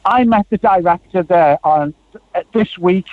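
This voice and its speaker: A man rambles cheerfully on a radio call, his voice sitting high for a man. The line sounds dull and dry, without any room echo. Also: unhurried. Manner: rambling, chatty, full of "you know" and "right". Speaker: caller. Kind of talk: phone-in call.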